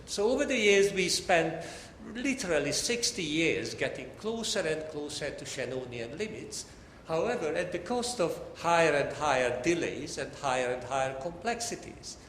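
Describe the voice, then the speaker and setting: Male academic lecturer, Hungarian accent, slightly high-pitched voice, deliberate explanatory delivery, recorded in a reverberant hall. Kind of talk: formal academic lecture to an audience. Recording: echoey hall, long reverb, faint noise.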